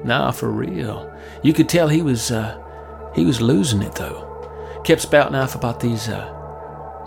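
An actor playing a jaded, teasing rock radio station colleague with halting, slangy talk in a horror audio drama. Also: male voice, mid-range voice, vocal fry.

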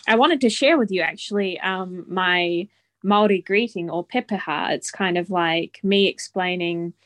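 A woman's voice: on the low side, a little slow, a bit bright, no echo. A woman in her twenties with a New Zealand accent, co-hosting a casual podcast and talking in a friendly way, laughing easily. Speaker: podcast co-host. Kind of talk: casual chat.

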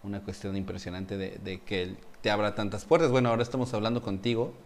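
A man's voice: on the low side, steady tone, quick pace. A middle-aged man from Mexico City with a Mexican accent, hosting a casual live-stream chat and teasing his guest, with lots of fillers. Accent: Mexican Spanish. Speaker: host.

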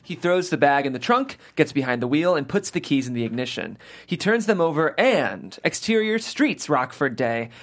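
A man's voice: fairly high pitch, big pitch swings, normal speed, hardly any pauses, a bit bright. A man hosting a podcast table read, talking casually and reading out script directions. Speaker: host, reader of stage directions.

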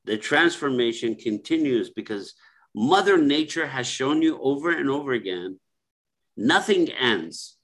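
A man speaking as a guest on a reflective podcast, slow and ruminative, with fillers and repeated phrases. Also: mid-range voice, lively intonation, a bit bright.

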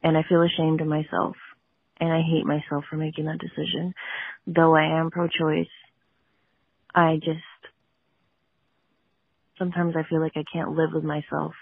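A woman's voice: sits low, level tone, normal speed, gravelly, long pauses, breathy edge, no room sound. A nervous woman caller leaving a personal confession as a voicemail message. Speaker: anonymous caller. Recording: tinny phone voicemail line.

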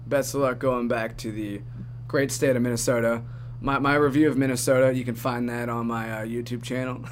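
An upbeat man hosts a casual podcast, chatty and quick to laugh. His voice sits at an ordinary pitch over a faint hum. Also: little pitch movement. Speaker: podcast host. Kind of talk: casual chat.

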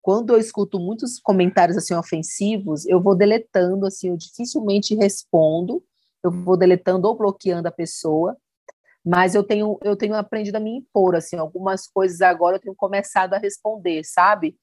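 A woman's voice: medium pitch, steady tone, fairly quick, a little mellow, dry recording. A woman content creator in casual conversation, speaking earnestly and reflectively, often quoting what others said to her.

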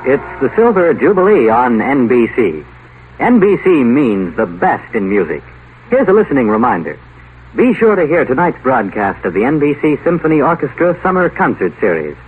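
Lively American radio announcer man, tinny. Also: mid-range voice, a little hiss or hum. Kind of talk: scripted 1950s radio announcing. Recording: tinny 1950 radio broadcast.

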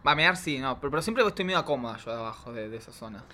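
Bright, campy Argentine drag queen guest. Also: medium pitch, expressive, very fast, slight background noise. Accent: Argentine Spanish. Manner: campy, gushing slang. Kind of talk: casual chat.

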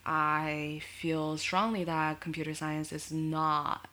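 Woman in her twenties from Korea, low voice, bright tone, podcast guest, hesitant and giggly. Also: level tone, takes it slowly. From South Korea. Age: 20s. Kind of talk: casual interview chat.

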